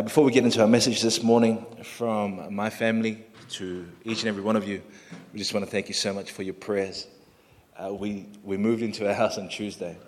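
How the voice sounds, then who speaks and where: A man in his thirties from Samoa, Samoan his first language, giving a friendly, chatty introduction to a congregation as their new pastor, with self-deprecating asides. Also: low-pitched. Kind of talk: sermon introduction. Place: church hall. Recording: long hall reverb in a church.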